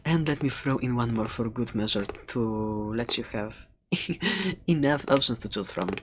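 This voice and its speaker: A woman's voice: extremely low pitch, expressive, unhurried, noisy background. A woman playing a chatty, quirky dragon egg seller in an ASMR roleplay, talking in a hesitant, playful, conversational way close to the microphone. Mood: chatty, reassuring. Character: chatty, quirky seller of dragon eggs, fond of dangerous pets. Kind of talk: ASMR roleplay, casual rambling talk.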